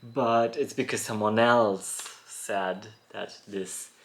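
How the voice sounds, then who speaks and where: A man, born in Poland, hosting a reflective solo podcast in a thoughtful, musing way with frequent soft laughs. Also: on the low side, fairly even intonation, slow, a little hiss or hum. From born in Poland, raised in Germany. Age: 30s. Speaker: podcast host. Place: rural home in France.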